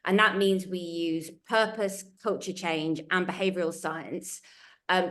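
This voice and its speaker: A woman speaking as a panelist on a webinar over a video call, earnest and emphatic, building her points in lists. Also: lowish voice. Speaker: panelist from a culture change consultancy.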